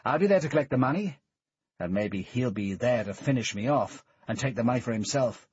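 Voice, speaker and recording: A man reading the part of a hard, determined male team leader who talks in a blunt, clipped way with a husky voice. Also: medium pitch, expressive, fairly quick, dry recording. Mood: grim. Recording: dull lo-fi recording.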